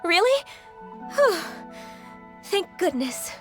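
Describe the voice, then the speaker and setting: A woman playing a gentle, timid young secretary in a video game, polite, hesitant and easily flustered. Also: very high-pitched, big pitch swings, takes it slowly, soft background music, heavily acted. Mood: relieved. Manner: hesitant, apologetic, courteous. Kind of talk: video game cutscene acting.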